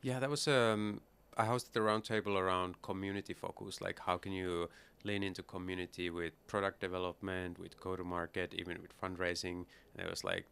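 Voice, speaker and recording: Deep gravelly-voiced man from Finland. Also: little pitch movement, a little fast, hardly any pauses, a bit bright. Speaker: startup founder guest. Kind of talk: casual interview talk. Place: busy conference hall.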